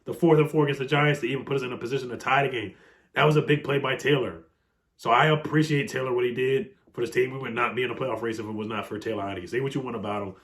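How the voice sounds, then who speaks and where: A man talks in a hoarse, raspy voice roughened by a cold. His talk is loose, casual fan commentary, broken up by ums and the occasional throat clear. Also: mid-range voice, fairly even intonation, fast, fairly bright tone. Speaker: sports video host.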